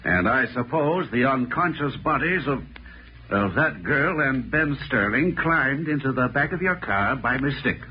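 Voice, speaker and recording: An actor playing a stern, suspicious American small-town mayor, curt and accusing, in 1940s radio drama style. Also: male voice, mid-range voice, expressive, measured pace, natural voice. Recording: dull, noisy old radio recording.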